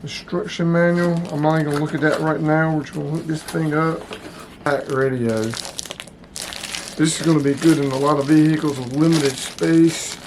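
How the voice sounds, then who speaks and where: A man's voice: on the high side, steady tone, moderate pace, noisy background. A man giving a casual, plain-spoken product review as the host, talking informally to his viewers. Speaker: channel host reviewing radio gear.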